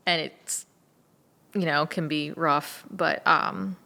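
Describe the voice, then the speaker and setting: A woman's voice: low voice, fairly even intonation, takes it slowly, creaky, pushed and bright. A woman chatting casually as a guest, effusive and rambling, with frequent asides. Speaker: actor in an audio drama cast. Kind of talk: casual chat.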